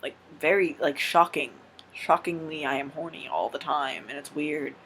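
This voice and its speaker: A man's voice: fairly high pitch, fairly even intonation, normal speed, fairly bright tone, slight background noise, poor audio. A young man casually answering viewer questions on his vlog, frank and rambling, swearing freely. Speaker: vlogger.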